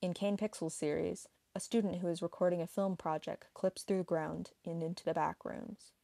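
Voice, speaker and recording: A woman's voice: lowish voice, steady tone, moderate pace, creaky, warm tone, dry recording. A woman reading a scripted explainer narration for an online video.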